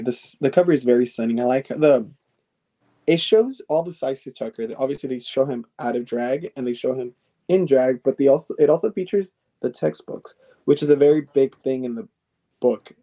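A young man in his twenties hosts a casual podcast chat, talking in a loose, chatty way. His voice is mellow and relaxed, at an ordinary pitch, and he often circles back and restarts his sentences. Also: fairly quick. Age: 20s. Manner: chatty, filler-heavy, restarts phrases. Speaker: podcast host.

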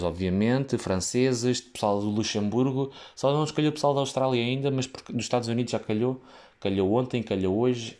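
A man's voice: on the low side, darkish tone. A young man with a European Portuguese accent tells a casual, rambling story about his work as a waiter, full of fillers, restarts and side tracks.

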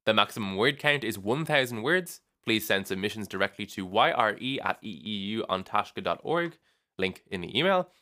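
A young man reading a weekly student union bulletin in a brisk, upbeat way, lifting his voice at each new item. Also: fairly low pitch, bright, forceful tone.

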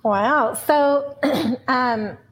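A woman's voice: high-pitched, very slow, slight background noise. Effusive, rambling woman co-host. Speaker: podcast co-host. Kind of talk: podcast interview.

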